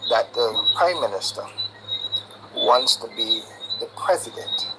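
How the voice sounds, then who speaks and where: A man's voice: mid-range voice, lively intonation, unhurried. A man of sixty-three with a Barbadian accent, speaking earnestly and emphatically as a caller to a live discussion. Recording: very noisy call with clicks.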